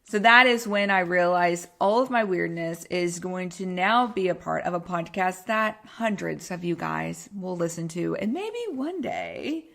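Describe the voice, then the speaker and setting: A woman's voice: on the low side, lively intonation, unhurried, vocal fry, few pauses, fairly bright tone. A woman podcaster with a Texan accent talks in a bubbly, informal flow. She rambles casually and pokes fun at herself, like a health coach chatting to her regular listeners. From Texas, United States. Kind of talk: casual solo podcast chat.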